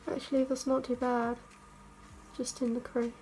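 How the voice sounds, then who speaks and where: Croaky young woman w a cold, kinda high voice, rambly makeup chat in her bedroom, faint music behind. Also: level tone, moderate pace, dark tone. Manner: chatty, self-mocking, rambling with fillers. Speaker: beauty vlogger. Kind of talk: casual makeup tutorial chat. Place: bedroom, indoors. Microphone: camera mic at arm's length.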